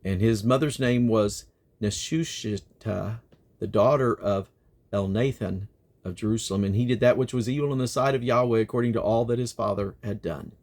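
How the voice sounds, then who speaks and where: A man reading scripture aloud in a solemn, declamatory manner, with measured phrasing and plainly spoken references. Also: fairly low pitch, darkish tone. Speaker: Bible reader and host.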